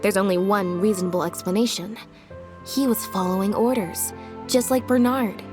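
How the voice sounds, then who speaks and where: A woman's voice: medium pitch, steady tone, lightly acted. Woman acting a composed, logical female detective. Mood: calm deduction. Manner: measured, explanatory. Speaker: detective character. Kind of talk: video game story voice acting. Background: faint music.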